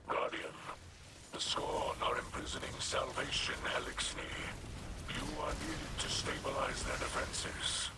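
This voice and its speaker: A man voicing an ancient alien lord in a game, speaking in a hushed, whispery, formal and beseeching way. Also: medium pitch, flat delivery, unhurried, creaky, heavy background noise. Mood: urgent. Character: ancient male Eliksni kell, grave and pleading. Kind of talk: video game cutscene voice acting. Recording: game soundtrack behind voice.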